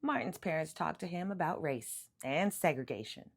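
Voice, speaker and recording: Lady doing a kids book read aloud, super expressive pitch swings, bit of creak in her voice. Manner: animated, emphatic storytelling with drawn-out words. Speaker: children's book reader.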